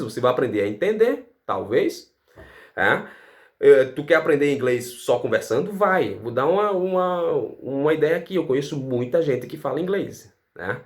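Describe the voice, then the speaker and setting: A Brazilian man giving a casual language lesson, asking rhetorical questions and adding "tá?" to his sentences. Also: mid-range voice. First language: Portuguese. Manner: animated, rhetorical questions, frequent "tá?" tags. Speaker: language teacher.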